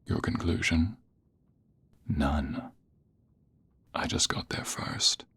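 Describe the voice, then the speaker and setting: A man playing a smug, flirtatious office rival in an intimate ASMR roleplay, taunting in a deep, breathy, gravelly near-whisper. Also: fairly bright tone, heavily acted. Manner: slow, deliberate, taunting, drawn-out phrases. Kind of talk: ASMR romantic roleplay, intimate second-person acting.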